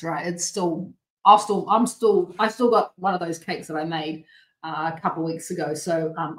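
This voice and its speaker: A woman's voice: fairly low pitch, a bit bright. Australian woman, late forties, bubbly rambling host, roomy echo. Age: late 40s. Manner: bubbly, rambling, with frequent restarts and laughs. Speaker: host of a healthy cooking show. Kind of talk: chatty live-stream greetings and updates.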